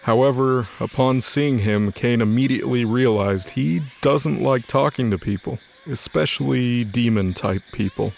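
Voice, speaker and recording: A man's voice: medium pitch, normal speed, creaky, hardly any pauses, a little mellow, slight background noise. A man giving scripted narration for an audio drama.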